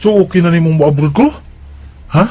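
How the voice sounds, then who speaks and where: Dull lo-fi radio drama, man playing earnest Bisaya partner, fairly high voice, hesitant and explanatory. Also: normal speed, close to an everyday voice. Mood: coaxing, earnest. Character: earnest Bisaya man saving for land and marriage. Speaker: radio drama lead and letter narrator.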